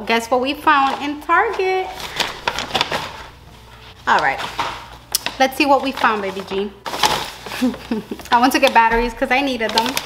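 A woman's voice: high-pitched, slow pace, pauses often, noisy background. A young woman, a dental hygienist, chatting casually to her viewers in a vlog from her car and living room, talking close to the camera. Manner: chatty, addresses viewers as baby girl. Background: soft background music.

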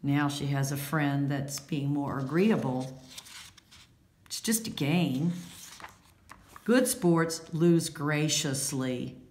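A low-voiced woman reads a children's book aloud in an echoey room. She takes it very slowly, stressing key words in an instructive, deliberate way. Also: darkish tone. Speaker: read-aloud storyteller. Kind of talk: children's picture-book read-aloud with commentary.

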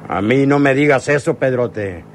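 A man's voice: medium pitch, moderate pace, a little hiss or hum, natural voice. A man playing an arrogant, callous outlaw leader in a radio serial, domineering and scornful. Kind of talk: radionovela acting.

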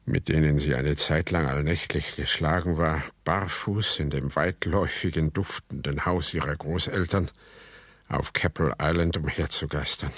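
A man's voice: extremely low pitch, steady tone, normal speed, a bit bright, dry recording. An actor playing a reflective, disgraced heir who narrates his family saga in a radio drama. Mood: reflective, confessional.

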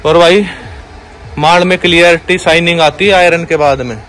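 A man's voice: a little high, fairly even intonation, normal speed, fairly bright tone, poor audio. Young man with a pushy sales patter. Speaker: wholesale clothing seller. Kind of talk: sales pitch video. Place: large indoor clothing warehouse.

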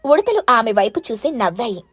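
A woman's voice: on the high side, very animated, normal speed, fairly bright tone, husky, no room sound. A woman narrator reading a scripted children's story.

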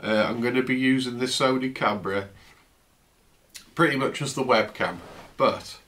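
A man in his fifties, croaky from a flu, chatting casually through an unboxing in his home office, recorded on a camera's built-in mic. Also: mid-range voice, normal speed. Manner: relaxed, chatty, trails off. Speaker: tech vlogger. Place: home office, indoors, seated at a desk.

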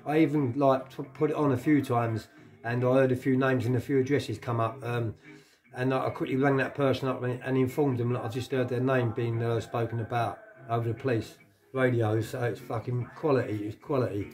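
A man's voice: medium pitch, fairly even intonation, fairly quick, darkish tone. A middle-aged man with a London accent telling a casual anecdote to camera, colloquial and sweary, often repeating his phrases. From England. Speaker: vlogger telling stories of his past. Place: living room at home.

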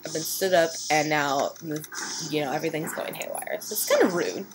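A woman's voice: low voice, normal speed, noisy background. A woman giving casual playthrough commentary, giggly and playfully smitten as she reacts. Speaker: gamer playing along.

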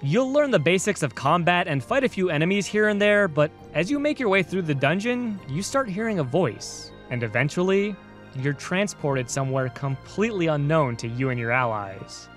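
Higher-voiced man narrating a game review. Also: lively intonation, normal speed, a bit bright. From United States. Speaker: video game reviewer and host. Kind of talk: scripted video essay narration. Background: music behind the voice.

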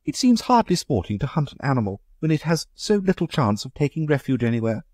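A man's voice: on the high side, big pitch swings, a little fast, a little mellow, no room sound, studio quality, voice pushed up. A man voicing a prim, anxious genteel young wife in a reading of a story. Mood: fretful.

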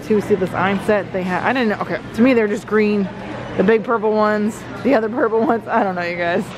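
A woman's voice: medium pitch, a little fast, a crowd in the background. A woman, chatting casually to viewers as a shopping vlogger, thinking aloud as the items come up. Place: large indoor warehouse store. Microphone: handheld vlog camera close to speaker. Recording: noisy big warehouse store ambience.

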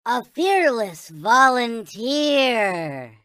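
A man's voice: very high voice, very animated, drawn-out words, pushed and bright, no room sound, clean studio sound, full character voice. A man voicing a tiny, childlike alien toy in a video game, speaking with reverent wonder in formal, chant-like phrases. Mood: solemn wonder. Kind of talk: video game character voice clips.

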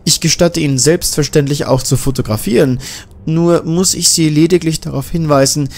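Guy doing a greying little mad-scientist doc, high and oily polite, laying on the flattery thick. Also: normal speed, lightly acted. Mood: smooth, flattering. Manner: courteous, flattering. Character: ageing slight male scientist, smooth flatterer.

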